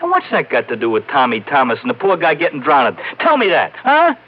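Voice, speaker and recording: An actor playing a slangy, defensive small-time American ex-mob bookie in a vintage radio crime drama. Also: male voice, on the high side, huge pitch swings, brisk. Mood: agitated, defensive. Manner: slangy gangster talk, stammering, protesting. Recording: muffled old radio recording.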